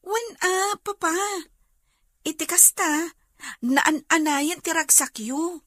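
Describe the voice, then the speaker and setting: Very high-voiced tender young daughter. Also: female voice, takes it slowly, bright, forceful tone, dry recording, studio quality, full character voice. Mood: gentle, hopeful. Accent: Ilocano Filipino. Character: affectionate young adult daughter, tender and sentimental. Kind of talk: Ilocano radio drama acting.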